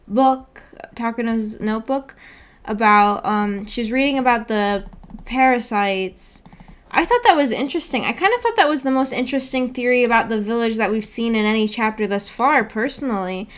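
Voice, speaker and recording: A woman hosting a casual livestream discussion, musing tentatively out loud with a giggly manner. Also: fairly high pitch, moderate pace. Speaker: host of a book club stream.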